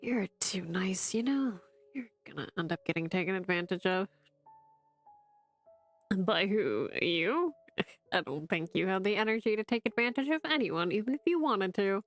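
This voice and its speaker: A woman's voice: medium pitch, expressive, moderate pace, long pauses, soft background music, lightly acted. A woman voicing a weary young man who talks in a tired, dry, downbeat way. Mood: gloomy.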